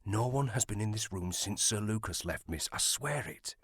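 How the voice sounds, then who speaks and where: A man playing a gruff, loyal servant of a country house in a period audio drama, deferential yet blunt. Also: lowish voice, lively intonation, normal speed, fairly bright tone. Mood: baffled, wary.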